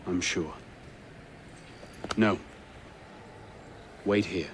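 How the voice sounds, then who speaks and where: A man's voice: low voice, drawn-out words, a little hiss or hum. An actor playing a determined male assassin, terse and resolute, in a video game cutscene. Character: determined young male Assassin investigating a murder.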